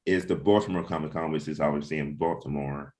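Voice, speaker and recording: Deep-voiced man chatting casually. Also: steady tone, fast talker, a little mellow. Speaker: comic book creator leading a team meeting. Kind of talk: casual chat, planning and anecdotes.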